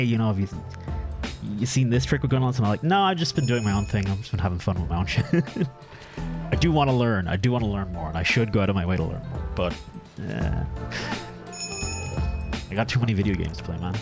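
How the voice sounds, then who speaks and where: A low-voiced Irish man chats away loosely while streaming a game, joking at his own expense and cursing casually. Game music plays clearly underneath his talk. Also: lively intonation, fast talker. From Ireland. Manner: chatty, self-deprecating, casual cursing. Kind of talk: casual live-stream chat while gaming. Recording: music playing behind.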